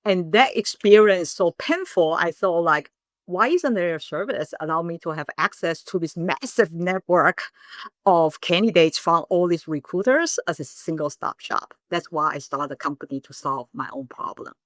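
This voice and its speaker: A middle-aged woman with a fairly low voice, speaking as a founder in an interview. She tells her story earnestly, with sudden animated bursts. The sound is dry and close, with no room in it. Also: normal speed, no echo. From Taiwan. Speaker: guest, startup founder. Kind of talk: casual interview talk.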